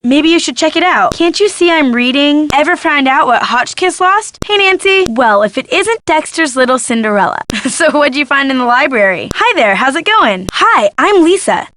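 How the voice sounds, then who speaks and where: A woman's voice: high voice, lively intonation, moderate pace, hardly any pauses, fairly bright tone. A woman playing a gossipy, snarky young female photojournalist in a video game, with sardonic, dramatic emphasis. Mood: conspiratorial, perky. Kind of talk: video game character dialogue.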